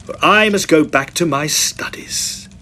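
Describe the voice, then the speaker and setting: A man's voice: a little high, a little slow, bright, forceful tone, a little hiss or hum. An actor playing a stern young male student, commanding and menacing, in an audio drama. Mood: stern triumph. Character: stern, righteous young male student forcing a villain at gunpoint. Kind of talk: audio drama acting and first-person narration.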